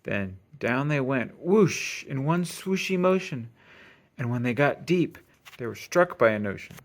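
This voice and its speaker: A man reading a rhyming children's picture book aloud in an expressive, sing-song storytelling manner. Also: medium pitch, measured pace. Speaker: storybook reader.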